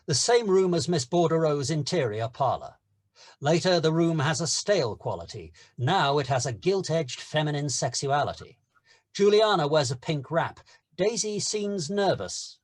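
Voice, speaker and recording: A man's voice: a little high, big pitch swings, moderate pace. A man giving scripted narration, reading stage directions at a screenplay table read.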